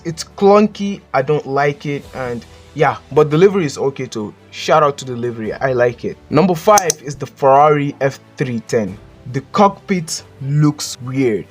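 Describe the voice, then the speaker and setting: A man with a Nigerian accent, hosting a casual countdown and giving blunt, joking opinions as a voice-over. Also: medium pitch. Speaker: channel host. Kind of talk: casual list commentary.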